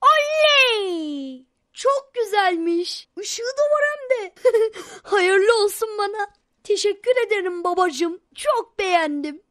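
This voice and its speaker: Cartoon schoolboy: very high childlike voice, polite and eager. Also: female voice, animated, slow pace, a bit bright, heavily acted. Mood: delighted. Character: cheerful, eager, well-mannered schoolboy son.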